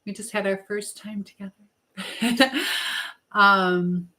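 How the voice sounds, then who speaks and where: A woman's voice: mid-range voice, steady tone, takes it slowly, bright, forceful tone. Rambling woman chatting on a live stream. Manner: rambling, trailing off mid-thought. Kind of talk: casual live chat, reading out viewer comments.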